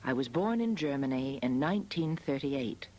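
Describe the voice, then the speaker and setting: A woman from the United States narrating a scripted personal documentary as a photographer, in a measured, reflective manner. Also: a bass voice, expressive, over loud music, rough recording. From United States, after early childhood in Australia. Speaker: photographer narrating her family history.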